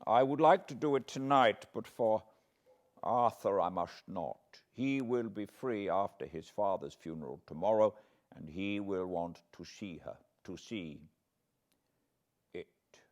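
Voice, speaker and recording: A man voicing an elderly foreign professor from a classic novel, solemn and fatherly, with quaint, deliberate phrasing. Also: fairly low pitch, expressive, darkish tone. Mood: intense, hushed. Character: elderly foreign male professor, grave, tender, paternal, eccentric.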